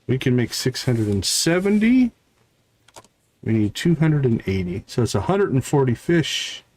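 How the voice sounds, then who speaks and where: A man's voice: mid-range voice, big pitch swings, unhurried, darkish tone. A man giving casual live game commentary as a streamer, thinking aloud and chatting to viewers.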